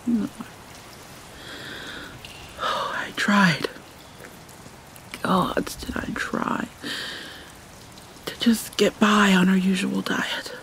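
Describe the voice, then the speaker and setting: A woman playing an anguished, proud vampire mermaid princess in a dramatic ASMR roleplay, soft, breathy and halting, often near a whisper. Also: mid-range voice, level tone. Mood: anguished, haunted. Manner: halting, theatrical, mournful. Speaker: roleplay voice actor.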